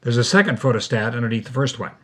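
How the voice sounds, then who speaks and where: A man narrates a scripted story as a podcast co-host. His voice sits at an average pitch. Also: fast talker.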